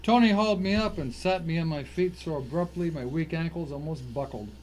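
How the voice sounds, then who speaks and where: A man's voice: on the high side, a little fast, darkish tone, a little hiss or hum, rough recording. A man reading a scripted first-person thriller story as its narrator, in a dramatic, suspenseful way.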